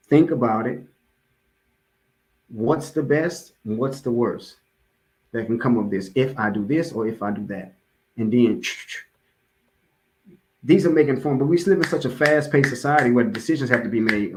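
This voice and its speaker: A man's voice: medium pitch, rough recording. An American man giving an informal motivational sermon, repeating key phrases for emphasis and checking in with his listeners conversationally. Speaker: religious speaker giving a public address.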